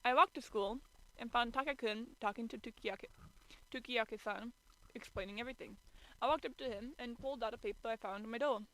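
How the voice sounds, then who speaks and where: Bright-voiced, exasperated woman reading aloud. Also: a little high, a little fast, low-quality recording. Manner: stumbling over words, theatrically exasperated. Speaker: streamer reading fan fiction aloud. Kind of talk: amateur dramatic reading with exasperated asides.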